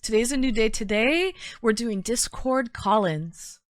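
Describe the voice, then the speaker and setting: A woman's voice: on the high side, expressive, measured pace, dry recording, clean studio sound. A woman with a Canadian accent hosting a livestream in casual, chatty talk, informally addressing her viewers and often repeating her phrases. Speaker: livestream host.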